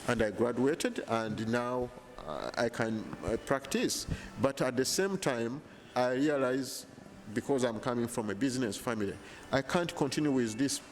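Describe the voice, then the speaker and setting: Earnest Ugandan man, measured delivery. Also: medium pitch, lively intonation, slight background noise. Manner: earnest, measured, frequent restarts. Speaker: interviewee. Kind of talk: casual interview. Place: bright hotel lounge indoors. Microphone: handheld lapel mic.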